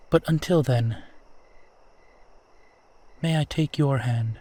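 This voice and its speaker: Man playing a slow-spoken, courtly vampire. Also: mid-range voice, dark tone. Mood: gentle, courtly. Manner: courtly, formal, measured, tender. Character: courtly, wistful, gentle immortal male vampire. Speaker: voice actor in audio roleplay. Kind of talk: intimate scripted roleplay monologue addressed to listener.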